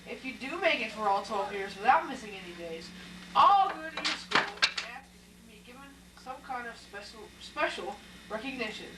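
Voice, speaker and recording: A woman's voice: fairly high pitch, lightly acted. A woman playing a strict, matter-of-fact father in an amateur puppet show. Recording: echoey, distant, noisy live recording.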